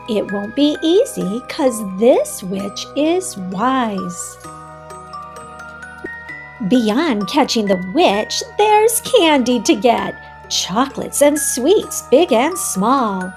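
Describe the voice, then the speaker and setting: A woman reading a children's storybook aloud as host, animated and instructive, speaking directly to young listeners. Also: fairly high pitch, slow, soft background music.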